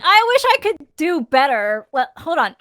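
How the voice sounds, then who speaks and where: A woman's voice: sits high, very animated, slow, a bit bright, no room sound. A woman from the Philippines co-hosting a live podcast, chatty and giggly. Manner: chatty, giggly, does silly sound effects. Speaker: podcast co-host. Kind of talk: casual live podcast chat.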